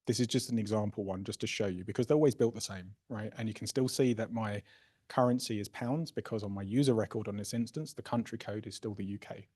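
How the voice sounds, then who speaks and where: A man presenting a software workshop, casually explaining a live demo and often checking in with "right?" and "okay?". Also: on the low side, steady tone, quick pace, slightly rough. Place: workshop room.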